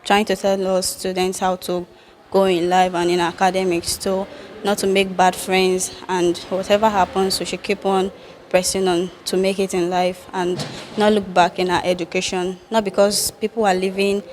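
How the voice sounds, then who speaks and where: A woman's voice: lowish voice, level tone, moderate pace, slight background noise. A teenage girl answering an interview as a student. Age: teens.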